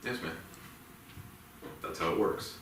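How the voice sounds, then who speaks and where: An actor playing a stern, bullying police officer in a staged play reading, curt, commanding and needling. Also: male voice, slow, lightly acted. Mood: stern, suspicious. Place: theatre stage, indoors. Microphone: distant room microphone. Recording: very echoey theatre, distant mic, faint noise.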